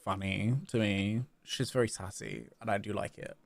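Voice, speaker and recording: A man's voice: lowish voice, steady tone, normal speed, creaky, no room sound. A man livestreaming a game, chatting casually and reading dialogue aloud.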